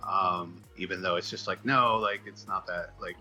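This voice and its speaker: A man answering interview questions in a casual, halting, self-deprecating way, thinking out loud as he rambles along. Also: low voice, fairly even intonation, soft background music. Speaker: comic book writer being interviewed.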